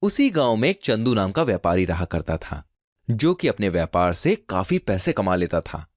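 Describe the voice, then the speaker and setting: A man's voice: deep voice, sing-song, normal speed, no echo, studio quality. A man narrating a scripted children's folk tale as a storyteller.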